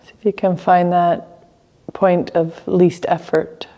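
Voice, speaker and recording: A woman teaching a guided meditation, speaking gently in short phrases with long pauses between them. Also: fairly low pitch, flat delivery.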